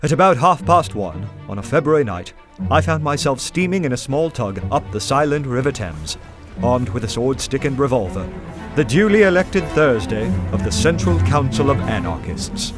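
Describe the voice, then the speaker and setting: A man playing an earnest detective who narrates his undercover adventure in a measured, literary radio play style. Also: mid-range voice, close to an everyday voice. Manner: measured, literary, dramatic storytelling. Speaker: narrator and lead character.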